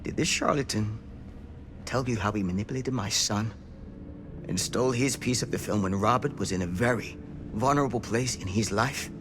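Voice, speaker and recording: An actor playing a blunt, urgent, haunted old former small-town sheriff in a cinematic horror game. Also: male voice, on the low side, normal speed, background noise, natural voice. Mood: accusing. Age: older.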